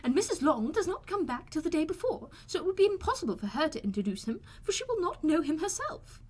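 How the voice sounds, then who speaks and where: A woman voicing an excitable, fretful middle-aged mother in an audiobook, exclaiming and gushing, easily offended. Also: very high voice, quick pace, voice pushed up. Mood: complaining. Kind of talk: audiobook character voice.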